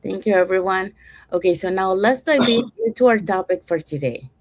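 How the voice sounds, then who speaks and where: Upbeat host from Panama, dry sound. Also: female voice, mid-range voice, moderate pace, no echo. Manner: upbeat, guiding the segments. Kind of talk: hosting an online talk show.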